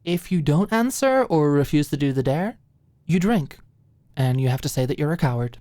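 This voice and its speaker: A woman's voice: deep voice, moderate pace, dry recording. A woman voicing a playful, tipsy young woman with a mischievous, over-eager, teasing manner in an audiobook. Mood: teasing, bossy. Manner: excitable, teasing.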